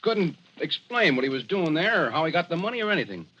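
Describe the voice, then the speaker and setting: A man's voice: a little high, big pitch swings, fast talker, sharp tone, slightly rough, full character voice. A man acting a folksy, sardonic police officer in a 1940s radio drama. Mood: affable. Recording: dull, noisy vintage 1940s radio recording.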